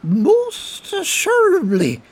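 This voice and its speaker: A man putting on a high-pitched drunk rogue. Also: wildly swooping pitch, drawn-out words, full character voice. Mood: tipsy, cheeky. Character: drunk Irish petty crook, middle-aged man, cheeky and wheedling.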